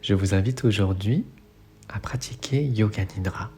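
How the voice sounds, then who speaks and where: A man leading a guided relaxation meditation as an instructor, speaking in soothing, measured phrases. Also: on the low side. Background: soft music.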